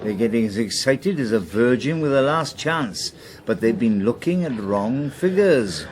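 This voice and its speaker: An actor playing a shrewd, scornful Welsh politician in a radio drama, opinionated and lecturing. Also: male voice, mid-range voice, expressive, unhurried, natural voice.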